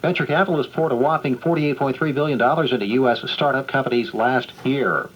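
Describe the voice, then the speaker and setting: A man's voice: medium pitch, fast. A man reading a scripted news bulletin, heard through an old radio speaker. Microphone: old radio speaker picked up by camera. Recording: dull lo-fi radio speaker playback.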